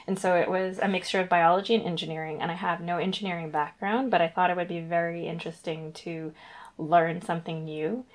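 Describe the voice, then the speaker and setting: A woman's voice: fairly low pitch, level tone, brisk. A young woman from Guyana, a graduate researcher, talking casually in an interview, with lots of ums and laughing as she tells her story. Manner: chatty, frequent ums, laughs through stories.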